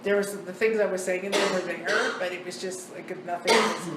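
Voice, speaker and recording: A woman on a ghost hunt speaks in halting single words, then chats about what she heard. She sounds set back from the microphone in a boomy, echoing cellar. There is loud background hiss beneath her voice. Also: fairly even intonation. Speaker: paranormal investigator relaying words she hears. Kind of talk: casual ghost-hunt chatter. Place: old hotel wine cellar, basement. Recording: echoey cellar, distant mic, loud background noise.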